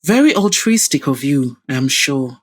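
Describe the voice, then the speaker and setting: A woman's voice: extremely low pitch, sing-song, normal speed, heavily acted. A woman voicing a composed, determined daughter in an audio drama, questioning coolly and politely with a pointed manner. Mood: cool, accusing.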